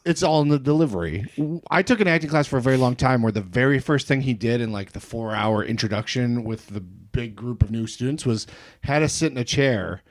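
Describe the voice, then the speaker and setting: A man's voice: medium pitch, a little fast. A man hosting a casual podcast interview, adding wry comments and asking follow-up questions. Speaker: podcast host.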